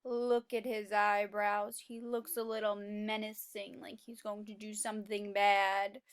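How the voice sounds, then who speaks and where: A woman's voice: mid-range voice, level tone, unhurried, clean tone. A woman reading a picture book aloud to young children as a teacher, expressively, asking questions and explaining simply.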